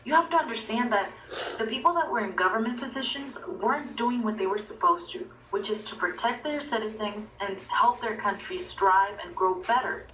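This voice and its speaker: A woman's voice: fairly high pitch, normal speed, a bit bright. A woman in her twenties from Venezuela, narrating her own personal story. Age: 20s. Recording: echoey, distant playback with faint noise.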